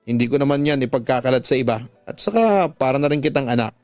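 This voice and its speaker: A man's voice: medium pitch, expressive, fast, a little mellow, no room sound, faint music behind, natural voice. A man voicing an older gossipy neighbor woman, motherly, concerned and coaxing as she gives advice in a radio drama. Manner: coaxing, advising.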